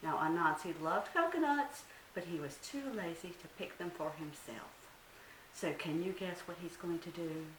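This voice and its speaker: Animated woman storyteller in a roomy space. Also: on the low side, normal speed. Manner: dramatic, asks listeners questions. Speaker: children's librarian storyteller. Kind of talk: expressive storytime narration for young children. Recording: roomy, distant mic, faint hiss.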